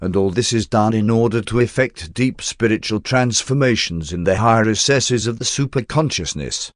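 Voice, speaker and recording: A man reading a spiritual text as an audiobook narrator in a formal, measured and solemn manner. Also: fairly low pitch, no room sound, clean studio sound.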